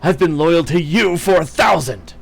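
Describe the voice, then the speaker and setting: A man's voice: medium pitch, lively intonation, slow pace, gravelly, husky, heavily acted. A man voicing a loyal male fairy beast clan chief who pleads with his queen and later roars in fury. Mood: furious. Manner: pleading, then roaring with rage.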